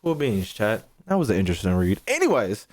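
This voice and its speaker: A man's voice: fairly low pitch, huge pitch swings, brisk, darkish tone. A man thinking aloud as a gaming streamer, casual and slangy, swearing freely, repeating phrases and trailing off mid-thought.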